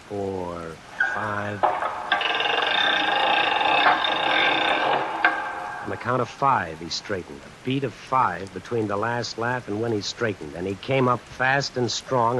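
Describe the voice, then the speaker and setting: A man's voice: on the low side, noisy background, natural voice. A man playing a cornered tough guy who narrates his predicament in a colloquial, brooding way in an old radio suspense play. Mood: tense counting. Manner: colloquial, deliberate, brooding inner monologue. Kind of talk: 1940s-50s radio suspense acting, first-person narration. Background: music and rain sounds.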